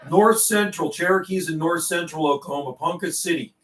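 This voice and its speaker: A man's voice: fairly high pitch, fairly quick, a bit bright, a little hiss or hum. A man hosting a CB radio livestream, chatting casually in slang and repeating and relaying messages between operators. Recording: roomy, distant microphone.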